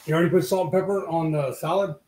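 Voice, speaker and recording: A man in his thirties, hoarse from being sick, chatting easily while cooking and eating live at home. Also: on the high side, fairly even intonation, quick pace, darkish tone, slight background noise. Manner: easygoing, chatty. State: hoarse from illness. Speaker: livestream co-host. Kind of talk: casual live cooking chat. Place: home kitchen. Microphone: fixed camera mic at the table. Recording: echoey kitchen room.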